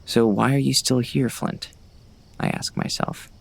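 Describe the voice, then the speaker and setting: An actor playing a wry, uneasy gunslinger, narrating a western audiobook in a dry, brooding manner. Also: male voice, mid-range voice, steady tone, a little slow, vocal fry, husky, heavily acted. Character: terse, uneasy gunslinger on horseback, wry and weary.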